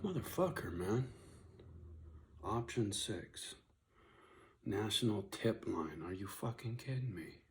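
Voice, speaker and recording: A man's voice: lowish voice, lively intonation, slow, pauses often, slight background noise. A man talking casually on a phone call and to viewers, in a muttering, sweary way with sighs. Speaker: caller recording his own phone call.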